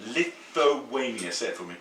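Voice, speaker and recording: A middle-aged man, hosting a home cooking demonstration in a kitchen, chatty, playful and excitable. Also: mid-range voice, normal speed, echoey room, recorded from a distance. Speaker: cooking show host.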